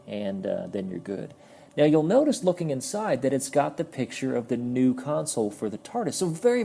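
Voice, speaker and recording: A man's voice: medium pitch, gravelly, warm tone. A man giving a casual, explanatory toy review, friendly in tone and sometimes stumbling over his words.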